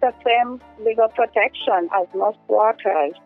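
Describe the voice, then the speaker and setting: A woman's voice: on the high side, unhurried. A woman in her forties from Uganda speaks plainly and earnestly about her caregiving job. The sound is thin and narrow like a phone call, with faint music underneath. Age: 40s. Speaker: home care worker. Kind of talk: interview clip.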